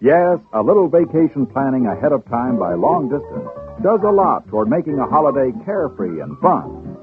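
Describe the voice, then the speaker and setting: An actor playing a cheerful vacationing husband and pitchman in a vintage radio commercial, with upbeat salesman phrasing. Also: sing-song, moderate pace, close to an everyday voice. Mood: upbeat selling. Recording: muffled old radio recording, music behind.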